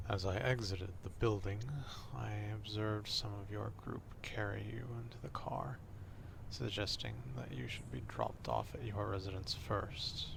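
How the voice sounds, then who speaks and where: A man voicing an obsessive, courtly vampire stalker in an ASMR roleplay, speaking formally and deliberately in a confiding, half-whispered way. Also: lowish voice, gravelly, noisy background.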